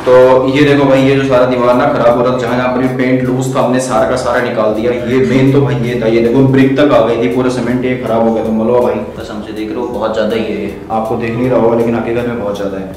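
Fast-talking young man vlogging. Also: mid-range voice, roomy sound, low-quality recording. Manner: chatty, addresses viewers as brother. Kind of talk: casual vlog talk to camera. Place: bare room under renovation, then a carpenter's workshop. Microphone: handheld camera at arm's length.

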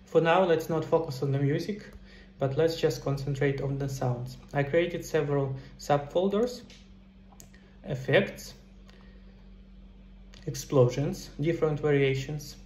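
A man's voice: a little high, unhurried, long pauses, a little mellow. A middle-aged man giving a casual step-by-step tutorial, explaining things directly to viewers as a game developer. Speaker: video tutorial presenter, indie game developer. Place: indoor room. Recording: roomy echo, some background noise.

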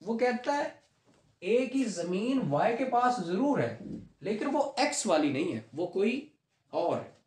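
A man's voice: high-pitched, big pitch swings, a little slow, a little mellow. A man with a Pakistani accent giving a law lecture, explaining step by step, repeating key points and mixing in English legal terms. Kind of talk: classroom lecture with questions and explanations. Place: small room at a whiteboard, indoors. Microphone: camera a few steps away. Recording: roomy echoing indoor recording.

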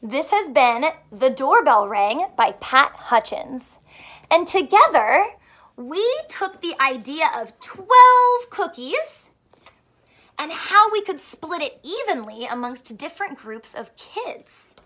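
High-pitched young woman teaching children slowly. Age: young adult. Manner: animated, questioning, counting out slowly and clearly for kids. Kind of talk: read-aloud math lesson for children. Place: small furnished room at home, indoors.

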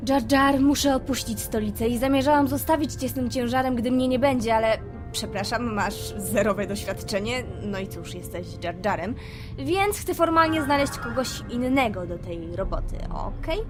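A woman's voice: fairly high pitch, lively intonation, normal speed, over music, close to an everyday voice. A woman playing an exasperated, melodramatic young noblewoman in a fan parody dub. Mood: awkward.

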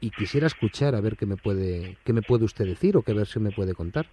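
A man's voice: fairly low pitch, expressive, fairly quick, dark tone, a little hiss or hum, voice pushed down, close to an everyday voice. Man playing an indignant coach company manager, Spain accent. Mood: stiff, formal. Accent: Spanish, from Spain. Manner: hesitant formal phrasing turning blunt and coarse. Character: indignant, bullying male coach company manager, formal then threatening. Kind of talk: prank phone call acting.

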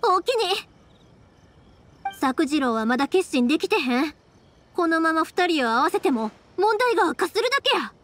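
A woman's voice: extremely high pitch, big pitch swings, moderate pace, pauses often, fairly bright tone, full character voice. A woman voicing a spirited, caring big-sisterly young woman in an anime game, with a Kansai accent. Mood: determined.

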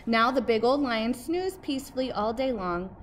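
Female storybook reader for children: higher-pitched, expressive teacher delivery, recorded outdoors on a device with light ambient noise. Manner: emphatic, pausing to ask listeners questions. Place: outdoors by a brick building entrance. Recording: faint outdoor background noise.

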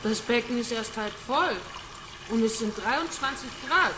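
A woman's voice: fairly high pitch, lively intonation, measured pace. An actress playing a cold young woman in a crime radio play, mockingly taunting her captive. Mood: cold mockery. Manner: mocking, coolly detached. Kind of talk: radio crime drama acting. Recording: roomy echo, water noise behind.

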